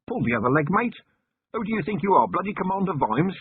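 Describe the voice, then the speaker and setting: A man doing a scoffing rough bargeman. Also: sits high, sing-song, fast talker, a little hiss or hum, pitched above the performer's own voice. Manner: mocking, disbelieving. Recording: muffled lo-fi narrow-band recording.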